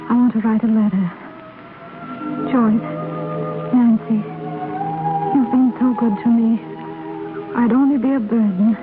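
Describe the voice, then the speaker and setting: A woman's voice: fairly high pitch, fairly even intonation, a little slow, long pauses, lightly acted. An actress playing a tender, sorrowful blind woman drifting in delirium, in a 1930s radio drama. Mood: dazed, sorrowful. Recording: muffled old radio, loud music underneath. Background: loud music.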